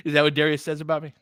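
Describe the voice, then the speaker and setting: A man's voice: on the high side, fairly bright tone, clean tone, no echo, clean studio sound. A man doing casual live basketball commentary as a livestream host, talking informally and directly to his viewers.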